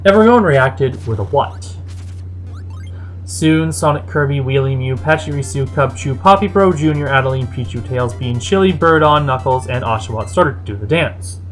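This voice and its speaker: Female story reader, low register, very lively inflection, theatrical, faint music behind. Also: normal speed. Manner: expressive, theatrical delivery. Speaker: fan fiction reader. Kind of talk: animated story narration.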